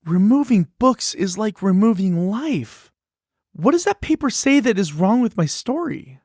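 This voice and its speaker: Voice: medium pitch, big pitch swings, unhurried, darkish tone, no echo, clean studio sound. An actor in a musical puppet show voicing an anxious, earnest children's book that pleads its case expressively. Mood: pleading, indignant. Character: earnest, anxious award-winning children's book facing a ban.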